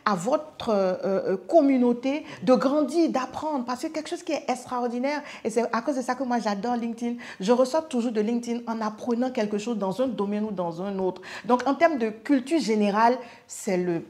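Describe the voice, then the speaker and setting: A woman's voice: on the high side, normal speed, few pauses, a little mellow. A woman podcast guest explaining in an enthusiastic, conversational way, with rhetorical questions and repeated words.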